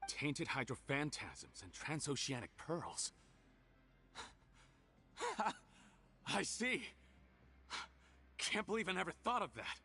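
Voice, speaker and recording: A man's voice: fairly high pitch, wildly swooping pitch, takes it slowly, long pauses, bright, forceful tone, breathy edge, heavily acted. A man voicing a scheming, mocking criminal villain in a video game, theatrical and gloating in his delivery. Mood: scheming, intrigued. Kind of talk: scripted video game dialogue.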